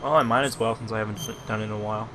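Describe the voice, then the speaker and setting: A man giving casual, deadpan commentary while playing a video game. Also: fairly low pitch, very fast. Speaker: gameplay commentator. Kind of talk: casual let's-play commentary. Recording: noisy, over game audio.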